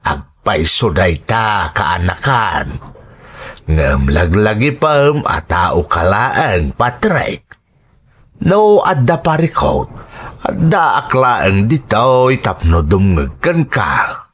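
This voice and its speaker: A man plays a middle-aged uncle in an audio drama, giving calm counsel. The voice is lowish and slow, with a measured, reassuring delivery. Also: husky, full character voice. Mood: calm, advising.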